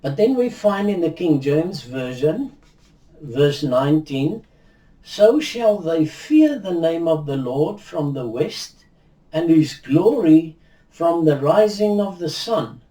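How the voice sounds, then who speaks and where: Slow, mellow older man. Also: on the high side, very animated, distant mic. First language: Afrikaans. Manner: measured, reflective, with frequent restarts and hand gestures. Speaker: Bible teacher. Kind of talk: unhurried video teaching. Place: indoors, in front of a virtual backdrop. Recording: roomy echo, voice heard from afar.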